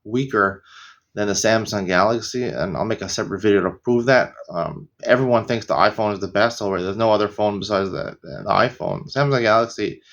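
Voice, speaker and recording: A middle-aged American man teaching a casual daily Talmud lesson, rambling into opinionated asides and often clearing his throat. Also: fairly low pitch, a little fast, a bit bright, lo-fi sound. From United States. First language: English.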